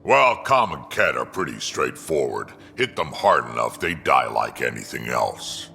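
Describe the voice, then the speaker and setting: An actor playing a gruff old alien mercenary in a video game, with a gravelly rumble and a dry, blunt drawl. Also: male voice, very animated, unhurried, bright, forceful tone, big-room sound, exaggerated voice. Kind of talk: video game character acting.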